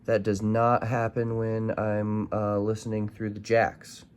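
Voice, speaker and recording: A man with a fairly low voice talks through a gadget review in a casual, unscripted way. He is informal and hesitant, working out his words as he goes. Music plays in the background. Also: completely flat delivery, unhurried. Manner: informal, hesitant, thinking aloud.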